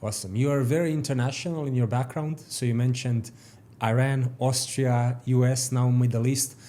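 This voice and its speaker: Man interviewing, steady middle pitch. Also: moderate pace, a little hiss or hum. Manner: brief backchannels, structured questions. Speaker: podcast host.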